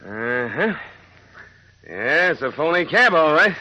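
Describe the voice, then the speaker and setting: An actor playing a brisk, matter-of-fact police inspector in a 1940s radio drama. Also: male voice, fairly high pitch, sing-song, pushed and bright, a little hiss or hum, full character voice. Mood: businesslike. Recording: dull lo-fi old radio recording.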